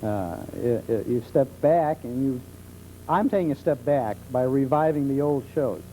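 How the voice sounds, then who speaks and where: A middle-aged man being interviewed as a talk show guest, explaining things conversationally with hesitant pauses. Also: mid-range voice, warm tone. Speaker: talk show guest, old-time radio enthusiast. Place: television studio. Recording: muffled 1970s television tape with hum.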